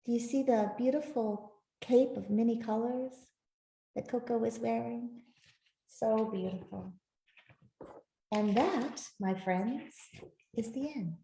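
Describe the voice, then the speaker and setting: A woman reading a picture book aloud to children in a gentle, expressive storytelling manner. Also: a little high, slow pace, creaky, pauses often. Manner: gentle, expressive, with soft asides to listeners. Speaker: author reading her own picture book. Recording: roomy, echoey sound.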